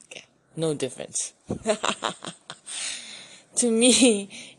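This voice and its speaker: A woman's voice: deep voice, darkish tone, a little hiss or hum. A middle-aged woman with a Malaysian English accent, talking casually to camera in a chatty, self-deprecating way. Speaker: YouTuber.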